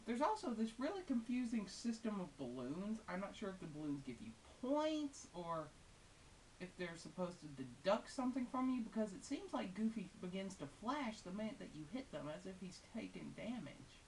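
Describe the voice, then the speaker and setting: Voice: mid-range voice, very animated, normal speed. A female-sounding game reviewer giving casual, unscripted and opinionated commentary in a conversational way with wry asides. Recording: hissy, distant microphone.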